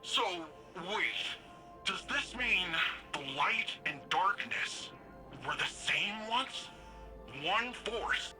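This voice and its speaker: An eager young sidekick from a sci-fi game, with a highish and very bright voice. He is curious and a little wry, talking casually and haltingly, with faint music behind him. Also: animated, full character voice. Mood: puzzled. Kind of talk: dramatic video game dialogue.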